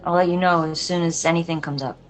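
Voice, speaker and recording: A woman's voice: fairly low pitch, steady tone, fast, soft background music, lightly acted, rough recording. A woman playing a composed, wry police lieutenant with a dry, placating manner in a film table read.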